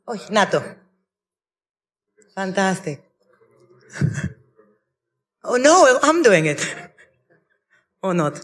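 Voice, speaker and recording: A woman's voice: mid-range voice, sing-song, very slow, long pauses. A woman with a Greek accent, an economist giving a conversational, self-deprecating panel presentation at a conference. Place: large conference hall. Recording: long hall reverb at a conference.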